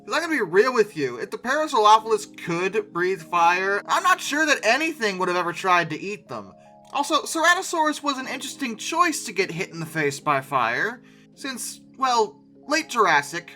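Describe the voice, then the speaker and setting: An incredulous, sarcastic host giving casual reaction commentary, with emphatic delivery and dramatic pauses. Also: medium pitch, big pitch swings, pushed and bright. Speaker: host of a paleoart reaction video. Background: music.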